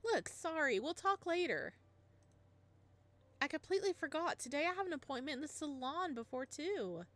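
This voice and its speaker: A woman voicing a cheerful, teasing woman friend in a visual novel, brisk and matter-of-fact. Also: sits high, very animated, a bit bright, pitched above the performer's own voice. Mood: hurried. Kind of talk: reading a visual novel aloud.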